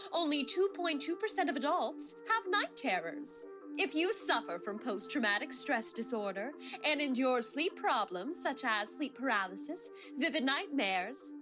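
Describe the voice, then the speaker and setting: High, swooping female announcer. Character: reassuring female institute announcer in a promotional spot. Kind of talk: scripted commercial narration.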